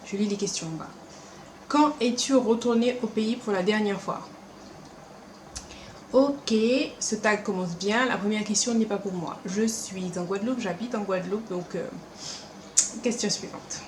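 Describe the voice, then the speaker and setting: A young woman with a French Caribbean accent mixed with Paris French, chatting to camera at home in a lively, animated way. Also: mid-range voice, reverberant, a little hiss or hum, low-quality recording. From France, born in Paris, raised also in Martinique. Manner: chatty, animated, quick asides and acted-out quotes. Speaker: beauty vlogger. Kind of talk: casual chat to camera. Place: room at home.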